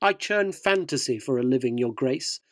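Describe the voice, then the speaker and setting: A man's voice: mid-range voice, expressive, normal speed, no room sound, studio quality, close to an everyday voice. A man playing a cynical, self-loathing tabloid journalist in a radio drama, wry, wordy and theatrical. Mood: bitter ranting. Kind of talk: radio drama narration and dialogue.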